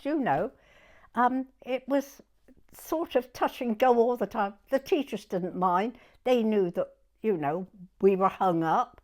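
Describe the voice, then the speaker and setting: A very old woman with a southern English accent shares memories in a chatty oral history interview. Her pitch moves up and down with great animation, while her pace stays slow. She often doubles back and repeats her words. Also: a little mellow. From southern England. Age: 90s. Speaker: interviewee recalling her youth.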